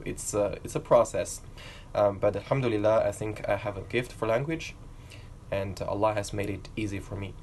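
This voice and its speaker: A man's voice: fairly low pitch, slight background noise. A young man from China telling his own learning story to camera in an earnest, reflective way. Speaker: vlogger.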